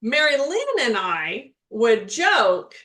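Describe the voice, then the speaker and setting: A middle-aged woman with a fairly high voice co-hosts a relaxed spiritual podcast. She talks in a loose, rambling way, doubling back on her words and laughing often. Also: slow. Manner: chatty, repeats and restarts, laughs often. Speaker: podcast co-host, spiritual healer. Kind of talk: casual chat.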